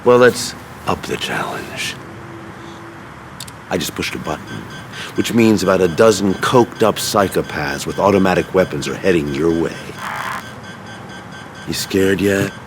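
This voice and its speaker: Game villain: smug crime boss, low voice, swooping theatrical taunts, faint rumble behind. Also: male voice, animated. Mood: mocking. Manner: taunting, theatrical, drawn-out threats. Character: menacing, smug crime boss confronting thieves. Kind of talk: video game cutscene acting.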